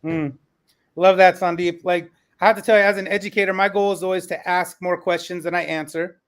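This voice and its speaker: High-pitched man hosting a panel discussion. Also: fairly even intonation, brisk. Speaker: podcast panel host and educator. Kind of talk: conversational panel moderation.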